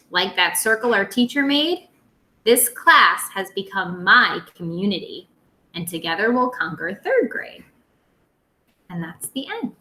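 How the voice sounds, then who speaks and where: A woman teacher reading aloud to young children in an expressive, playful, dramatic way. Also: medium pitch, roomy sound.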